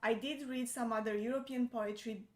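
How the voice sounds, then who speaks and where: A woman's voice: on the high side, steady tone, normal speed. A young adult woman, a native Romanian speaker, chatting in English as a co-host, hesitant and full of fillers. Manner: hesitant, many fillers and restarts. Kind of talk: casual chat. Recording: echoey room.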